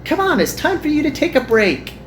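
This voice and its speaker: A man plays a young anime girl in a visual novel, pitched well above a normal male speaking voice and swinging freely up and down. She is cheerful and caring, coaxing in a friendly way, with music playing underneath. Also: sing-song, fairly quick. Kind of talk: playful visual novel character reading.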